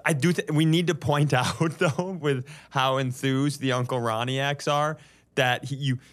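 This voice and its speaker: A man's voice: mid-range voice, fairly even intonation, a little slow, a bit bright. A man co-hosting a comedy podcast, chatting casually in a joking, profane way.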